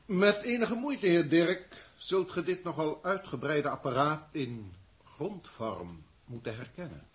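An actor in a 1960s science-fiction radio drama playing a formal, grandiloquent alien proctor who speaks deliberately and pompously. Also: male voice, a little high, lively intonation, husky, exaggerated voice. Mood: pleased, grand. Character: authoritative, grandiloquent alien proctor from a galactic federation.

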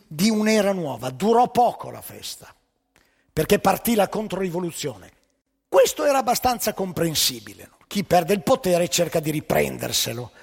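A man's voice: sits high, creaky, fairly bright tone, slightly rough, big-room sound. A man telling a story on stage, emphatic and ironic, with pointed rhetorical questions. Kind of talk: theatrical storytelling monologue.

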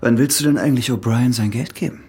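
An actor playing a guarded, evasive ex-convict husband in a radio crime drama, terse, with a husky voice. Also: male voice, mid-range voice, quick pace, warm tone. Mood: defiant.

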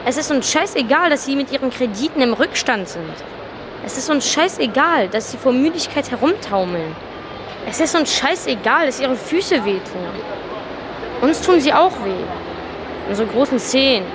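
High-voiced, scrappy resentful child. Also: female voice, lively intonation, moderate pace, background noise. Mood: resentful, defiant. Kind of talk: stylised radio drama recitation.

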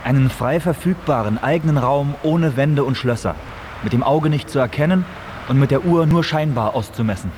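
A man's voice: mid-range voice, normal speed, darkish tone, a little hiss or hum. A man reading scripted documentary narration for a radio feature. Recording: music behind the voice. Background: music.

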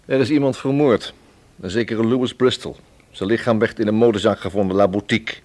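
An actor playing a calm, probing police inspector in a radio play, speaking in a measured, matter-of-fact, drily wry way. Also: male voice, on the low side, lightly acted.

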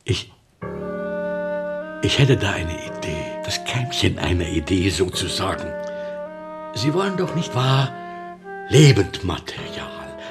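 A fussy low-voiced librarian. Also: male voice, big pitch swings, slow pace, long pauses, full character voice. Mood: eager, hesitant. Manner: pedantic, hesitant, ornate wording. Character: fussy, bookish, sentimental male librarian. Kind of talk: radio play acting. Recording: music behind the voice.

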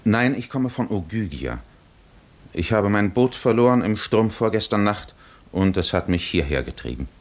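An actor playing a weary, worldly wandering castaway hero, middle-aged and gently teasing, with measured, musing delivery in a 1950s German radio drama. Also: male voice, on the low side, sing-song. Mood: measured, curious.

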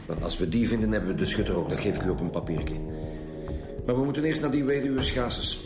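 An actor playing a blunt, commanding chief homicide detective with a Flemish accent in a radio drama. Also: male voice, fairly low pitch, quick pace, music in the background, natural voice. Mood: probing, businesslike.

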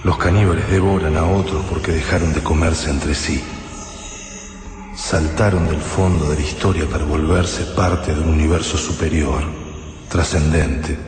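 Very deep, echoing brooding fighter. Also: male voice, fairly even intonation. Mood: grave, pensive. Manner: slow, solemn, literary. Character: brooding, reflective male fighter standing over a captive enemy. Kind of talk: radio drama interior monologue. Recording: long reverb, clear background noise.

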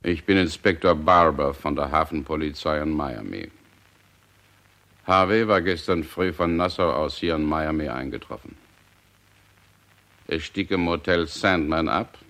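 A man's voice: extremely low pitch, a little slow, long pauses, fairly bright tone, full character voice. A man in a radio play, playing a matter-of-fact harbour police inspector giving a report.

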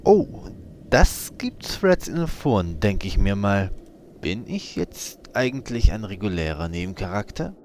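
A man's voice: on the low side, sing-song, measured pace, a little mellow, a little hiss or hum. A man playing a mysterious hooded henchman in an audio drama parody, menacing and dryly self-aware. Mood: smug.

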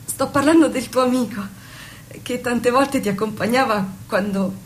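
An actress playing a devoted, trusting young noble wife in an Italian radio drama, speaking with earnest, pleading insistence. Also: female voice, on the high side, normal speed, slight background noise, close to an everyday voice. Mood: insistent, pleading.

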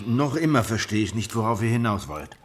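An actor playing a guarded, world-weary traveller who speaks and narrates in a measured, dry, reflective way in a radio drama. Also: male voice, lowish voice, big pitch swings. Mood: wary. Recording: studio radio drama under loud guitar music.

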